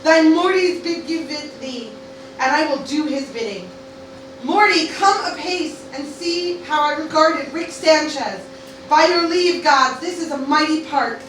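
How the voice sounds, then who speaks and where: A woman's voice: sits high, measured pace, fairly bright tone. A woman playing an earnest, grieving teenage girl as a Roman soldier, lamenting dramatically in an amateur Shakespeare radio parody. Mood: grief-stricken, resolute. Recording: very echoey, distant mic, faint noise.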